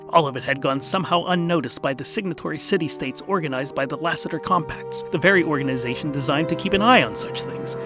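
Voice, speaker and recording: A man narrates a comic fantasy tale with theatrical, mock-epic delivery. His voice is a little higher than most men's, and music plays clearly behind him.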